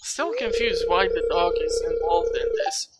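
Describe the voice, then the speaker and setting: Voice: mid-range voice, lively intonation, normal speed. A person giving casual game walkthrough commentary as a video host, rambling and thinking aloud. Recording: clearly noisy recording with clicks.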